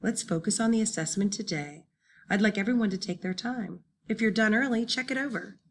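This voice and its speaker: A woman voicing a brisk but reassuring male middle-school maths teacher in an audiobook, with clipped, instructive delivery. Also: mid-range voice, a little hiss or hum, voice pushed up, close to an everyday voice. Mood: calm instructing. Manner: instructive, clipped classroom address.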